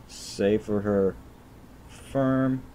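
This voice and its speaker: A deep-voiced man reads game narration aloud as a streamer. He trips over and repeats phrases as he goes, with faint noise behind him. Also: fairly even intonation, drawn-out words, warm tone. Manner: stumbles and repeats words while reading.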